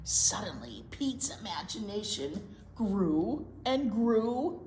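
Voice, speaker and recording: A woman's voice: medium pitch, sing-song, slow, darkish tone, slight background noise. A woman reading a picture book aloud to young children as a storytime teacher, expressively drawing out key words and asking little questions. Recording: roomy, echoey indoor sound.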